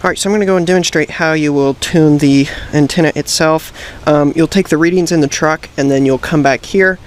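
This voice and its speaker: A man with a slightly high-pitched voice presents a practical how-to. He explains each step plainly and methodically. There is some background noise with vehicle ambience around him. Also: a little fast. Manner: plain, methodical instructional delivery. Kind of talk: hands-on tutorial, explaining step by step.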